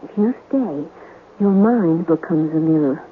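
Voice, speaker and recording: An actress playing an anguished young wife of a sea captain, in a melodramatic, pleading old-time radio drama style. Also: female voice, low-pitched, lively intonation, measured pace, phone-quality sound, slight background noise. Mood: grave, foreboding. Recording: band-limited old radio recording, music behind.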